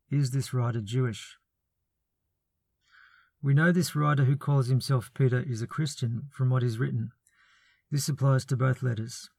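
A man with a mid-range voice gives a measured, scripted talk on biblical scholarship, reasoning step by step with a lightly wry edge. He is recorded close up in a dry, dead room with no echo. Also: mid-range voice, darkish tone. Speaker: biblical studies presenter.

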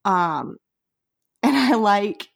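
A woman's voice: medium pitch, lively intonation, extremely slow, creaky. A woman co-hosting a podcast, chatting in an enthusiastic, informal way and leaning on "like" a lot. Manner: informal, enthusiastic, says "like" constantly. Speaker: podcast co-host and interviewer. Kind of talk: casual discussion.

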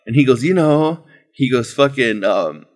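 A man's voice: medium pitch, lively intonation, a little slow. A young man hosting a podcast in casual, profane banter, teasing his friend and laughing a lot. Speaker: podcast host.

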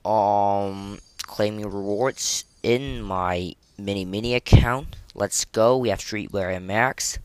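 A man hosting a casual solo gaming podcast, speaking in a halting way and often stumbling and repeating words. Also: on the low side, a little mellow, clear, resonant tone, dry recording. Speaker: podcast host.

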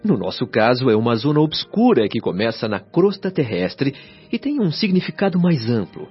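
An actor with a Brazilian accent playing a patient, learned spirit guide in a radio drama, speaking formally and instructively. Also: male voice, medium pitch, very animated, moderate pace, husky. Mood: solemn, explanatory. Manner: solemn, articulate, instructive, formal diction. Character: male spirit guide Lísias, patient, earnest, learned teacher. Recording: dull lo-fi sound, faint music behind.

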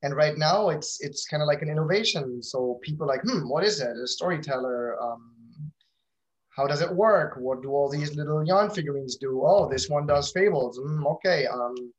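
A man's voice: a little high, moderate pace, rough recording. A man speaking as an interview guest about his own company in casual talk, often repeating words as he thinks.

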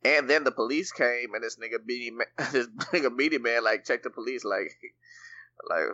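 A man's voice: medium pitch, a little fast, sharp tone, highs cut off. A man co-hosting a podcast, telling a story with enthusiasm and slang. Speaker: podcast co-host. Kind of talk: casual chat.

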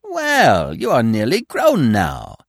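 A man's voice: on the high side, wildly swooping pitch, normal speed, no echo, studio quality, voice pushed up, full character voice. A man voicing a chatty, fussy, good-humored young squirrel in a storybook. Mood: cheerful.

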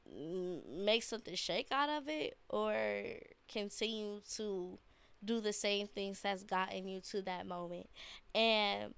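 A woman's voice: mid-range voice, vocal fry, fairly bright tone, clear, resonant tone, no room sound. A young woman hosting a casual podcast, talking in a laid-back, slangy way with a congested voice from a sinus infection. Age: young adult. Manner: slow, laid-back slangy talk with fillers. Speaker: podcast host. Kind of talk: casual solo podcast talk.

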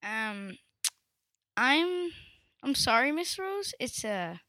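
Sheepish schoolboy played by a female amateur, halting, fairly high voice, readers' theatre, dry sound. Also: big pitch swings, bright, forceful tone, dry recording, full character voice. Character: hesitant, sheepish schoolboy, obedient classmate.

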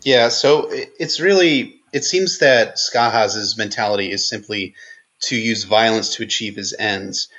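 Bright-voiced man, slightly lo-fi audio. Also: fairly low pitch, unhurried. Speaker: guest co-host on a book podcast. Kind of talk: conversational literary analysis.

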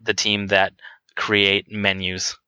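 A man in his late twenties talking candidly and casually as a guest, hesitant and halting, feeling his way through his answers. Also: on the low side, completely flat delivery, pushed and bright, clean tone, no echo. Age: twenty-nine. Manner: hesitant, halting, self-conscious. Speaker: interview guest on a podcast.

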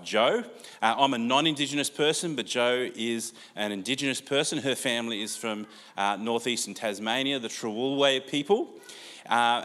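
An older Australian man giving a sermon in a relaxed, conversational way with many fillers, heard in a church hall with long reverb. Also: medium pitch. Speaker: preacher.

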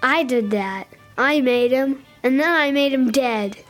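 A woman plays a menacing little boy in a comic audio drama. The voice is high and childlike. The delivery is flatly matter-of-fact, with music playing underneath. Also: unhurried. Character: menacing little redheaded boy with strange powers.

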